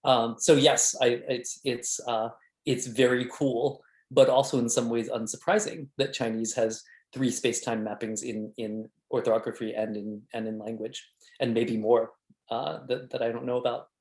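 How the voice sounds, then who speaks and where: A man's voice: mid-range voice, steady tone. Guy fielding questions after his talk, lots of stops and restarts, roomy echo. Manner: halting, many restarts and fillers. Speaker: invited speaker.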